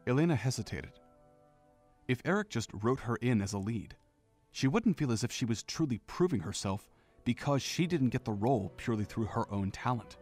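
A man narrating a fan audio drama in a steady storytelling manner, with faint music behind. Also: medium pitch, moderate pace. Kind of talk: scripted narration.

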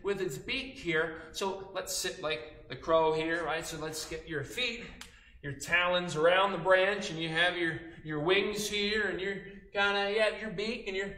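A man working as a children's storyteller, animated and instructive, pausing between phrases as he invites listeners to act along. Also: high voice. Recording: echoey room.

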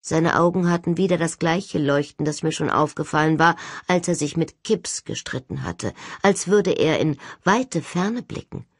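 A woman's voice: sits low, expressive, moderate pace, few pauses, dry recording. A woman reading scripted first-person audiobook narration as the narrator, recorded close.

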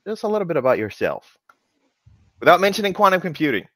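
A man's voice: on the high side, big pitch swings, fast, pushed and bright, dry recording, studio quality. A man hosting a podcast in casual interview chat, rambling and jocular, often repeating himself, speaking on a video call. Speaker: podcast host. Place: home office, video call. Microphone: headset on a video call.

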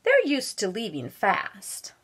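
A middle-aged woman reading a children's picture book aloud at home with expressive, drawn-out storytelling delivery. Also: fairly high pitch, breathy edge. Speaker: volunteer guest story reader. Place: small room at home.

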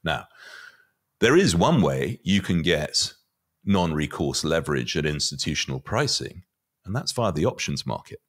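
A middle-aged man giving conversational market commentary as a guest, often halting and repeating words as he thinks. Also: extremely low pitch, sing-song, husky. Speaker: podcast guest, finance commentator. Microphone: podcast mic on boom arm.

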